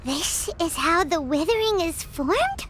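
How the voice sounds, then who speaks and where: A woman voicing a tiny, excitable, childlike fairy companion in a video game, with a squeaky, bubbly voice. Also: unhurried, background noise, exaggerated voice. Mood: puzzled. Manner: bubbly, third-person speech, exclamatory.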